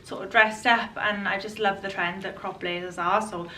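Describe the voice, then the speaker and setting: A woman in her twenties, a fashion vlogger chatting to camera in a casual, enthusiastic way, her voice a little hoarse from a cold. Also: medium pitch, steady tone, moderate pace, sharp tone, recorded from a distance, slight background noise. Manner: chatty, enthusiastic, restarts and repeats words. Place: bright room indoors.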